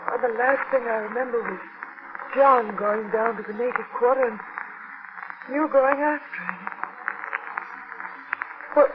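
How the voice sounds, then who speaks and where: An actress playing a frail, emotional young wife with a faltering, melodramatic manner in a 1940s radio drama. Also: female voice, fairly high pitch, a little slow, long pauses, lightly acted. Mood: weak, dazed. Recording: tinny, noisy 1940s radio recording.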